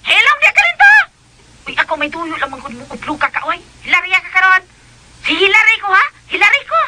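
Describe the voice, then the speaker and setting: A woman playing a silly, slow-witted country housemaid in a comic radio drama, with exaggerated exclamations and cackles. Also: very high voice, big pitch swings. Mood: teasing, excitable. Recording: very noisy radio drama recording.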